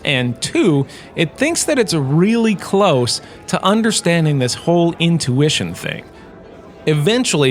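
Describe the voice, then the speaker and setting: A man playing a self-regarding, jokey professor giving a scripted comedic lecture with theatrical storytelling pauses. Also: fairly high pitch, lightly acted. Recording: clearly noisy background ambience.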